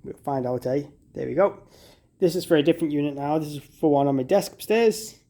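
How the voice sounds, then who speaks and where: A man's voice: fairly high pitch, fairly quick, warm tone. A man giving a casual, step-by-step product walkthrough as a demonstrator, in an informal, conversational way.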